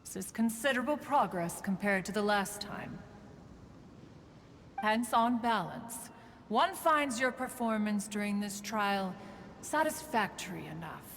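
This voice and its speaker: A woman's voice: medium pitch, animated, a bit bright, a little hiss or hum. A woman playing an ancient female crane spirit in a video game, proud yet protective, with poised, formal, archaic speech. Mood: stern approval. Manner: formal, archaic, haughty, measured. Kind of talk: scripted video game character acting. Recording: long reverb, music behind. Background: music.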